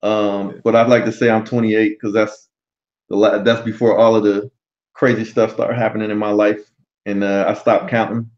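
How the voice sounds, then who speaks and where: Relaxed man in his forties, lo-fi audio. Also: fairly low pitch, normal speed. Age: 40s. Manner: relaxed, frequent um fillers. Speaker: livestream host. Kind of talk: casual live-stream chat.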